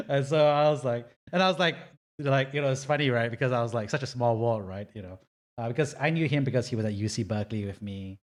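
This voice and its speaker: A male podcast host with a mid-range voice talks in a loose, animated way. He fills his sentences with casual asides as he steers the conversation. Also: medium pitch, fast. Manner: chatty, animated, many fillers like "you know". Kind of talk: casual interview hosting.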